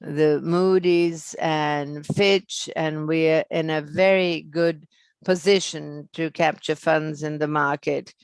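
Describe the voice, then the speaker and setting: A financial presenter speaks at a slow pace on an earnings call, in a smooth, clean voice. The phrasing is halting and broken into fragments, and the sound is very dry with no room around it. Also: medium pitch, fairly even intonation, dry recording.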